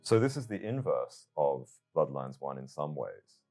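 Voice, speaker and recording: A man speaking as an interviewer, putting points and questions in a measured way. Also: rumbling low, big pitch swings, gravelly, dark tone, soft background music.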